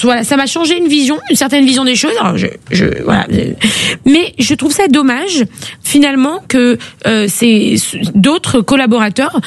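A woman's voice: high voice, no echo. A woman in her forties hosting a radio talk show, chatting casually and often hesitating and restarting. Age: 40s. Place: radio studio. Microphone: studio microphone.

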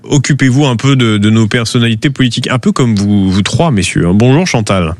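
A man's voice: mid-range voice, fairly quick, a little mellow, slight background noise. A man hosting a live call-in radio show, affable and friendly with callers, with many hesitant fillers, speaking from a studio. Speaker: radio talk show host.

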